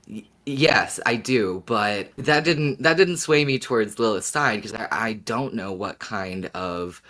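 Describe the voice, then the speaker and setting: Low-voiced man in casual podcast chat. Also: fairly bright tone. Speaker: podcast co-host. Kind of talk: casual fan discussion podcast.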